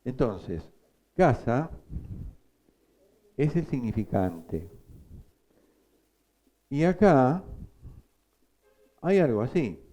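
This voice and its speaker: A man teaching a psychoanalysis class, explaining slowly and quizzing his students. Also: mid-range voice, warm tone. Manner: slow, explanatory, asks the class questions. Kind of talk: lecture.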